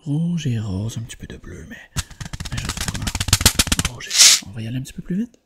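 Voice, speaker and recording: A person whispering softly in an ASMR eye exam roleplay, gently checking in with short questions. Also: mid-range voice, big pitch swings, a little fast, heavy background noise. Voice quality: whispered, soft-spoken. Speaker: ASMR creator playing an eye examiner.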